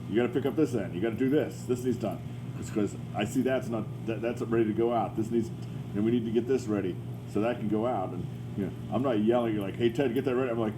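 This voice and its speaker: A deep-voiced man talks about running a kitchen, circling back and restarting his sentences as he goes. His voice sits on the low side. Steady noise runs clearly behind him. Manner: rambling, many restarts and repeated words. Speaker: restaurant chef. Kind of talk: casual chat.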